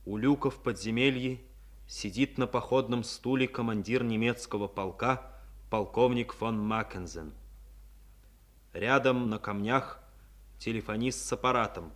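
A man narrating a 1950s Soviet war radio play in a solemn, measured, scripted dramatic style. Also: mid-range voice, close to an everyday voice.

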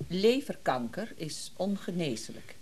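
An actress playing a stern middle-aged wife in a radio drama, sharp-tongued, sarcastic and bossy. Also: female voice, fairly low pitch, big pitch swings, slow. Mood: cold, nagging. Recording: radio play with faint background noise.

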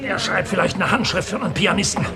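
A man's voice: sits high, expressive, fast talker, vocal fry. A man playing a jocular, wry police sergeant with a sporting turn of phrase in a 1960s German radio crime drama. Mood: wry, rueful. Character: jocular male police sergeant, boxing enthusiast. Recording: 1960s radio play, pub crowd behind.

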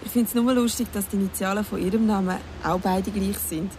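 A woman's voice: medium pitch, steady tone, brisk, mellow, close to an everyday voice, low-quality recording. An actress playing a playful young woman teacher with a teasing, ironic manner, in natural Swiss German radio drama talk with faint background noise.

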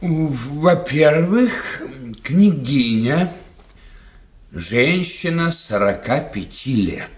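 An actor playing a witty, sceptical society doctor in a radio play, gossiping in a wry, theatrical way. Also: male voice, a little high, sing-song, extremely slow, full character voice. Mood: gossipy, amused. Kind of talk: radio drama acting.

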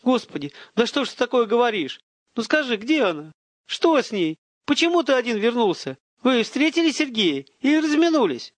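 A man's voice: very high-pitched, sing-song, slow, hardly any pauses, a little mellow, no echo, pitched above the performer's own voice, exaggerated voice. A man voicing a desperate father searching for his missing daughter, imploring and flustered, near tears, in an audiobook. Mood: anxious urgent.

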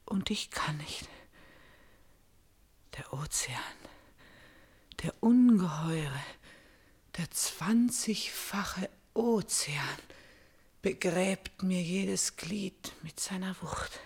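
Slow, fervent tragic princess. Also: female voice, on the low side, darkish tone, husky, exaggerated voice. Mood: entranced, breathy. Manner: declamatory, fervent, deliberate. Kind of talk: intense classical German radio tragedy acting.

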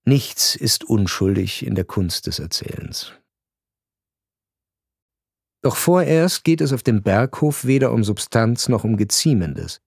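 Male narrator for a radio drama: low voice, dry close studio sound, measured and reflective. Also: a little mellow, no room sound. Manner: measured, reflective storytelling with deliberate pauses.

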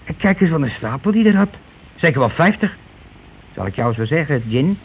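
An actor playing a blunt, self-righteous working-class husband in a radio play, grumbling, bossy and rather pompous. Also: male voice, fairly high pitch, big pitch swings, a little fast, husky, muffled recording, heavily acted. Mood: curious, scandalized. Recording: noisy old radio play recording.

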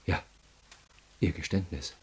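An actor in a German radio crime drama playing a cool, relentless state prosecutor, gravelly, measured, methodical and coldly polite. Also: male voice, close to an everyday voice. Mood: dry, confident.

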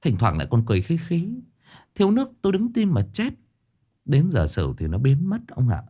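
Picture a frightened older village wife, stammering and hurrying her words in a shaky, anxious voice, as a male storyteller puts her on. Her voice rises and falls in lively swoops. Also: mid-range voice, voice pushed up. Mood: fearful. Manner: stammering, hurried and fearful.

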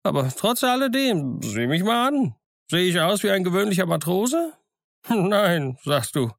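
A chattering, eccentric old castaway sailor, his voice pitched high above the narrator's and a bit louder, with a gravelly edge. He rambles insistently and confidentially. The sound is dry and close. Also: very animated, unhurried, no room sound, full character voice.

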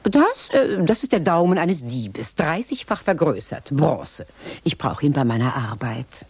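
A woman playing a shrewd, mercenary witch in a German radio play, sly and theatrical, with a husky, breathy voice. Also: low voice, big pitch swings, a little mellow, dry recording, full character voice. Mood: imperious. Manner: sly, theatrical, unhurried, swooping between murmur and exclamation. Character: shrewd, mercenary witch selling spells and advice.

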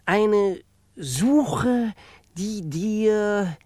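Grandiose wizard mentor, radio play, higher-set voice, measured delivery, exasperated, prophetic. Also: male voice, lively intonation, darkish tone, dry recording, full character voice. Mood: solemn, portentous. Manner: theatrical, grumbling, solemnly prophetic.

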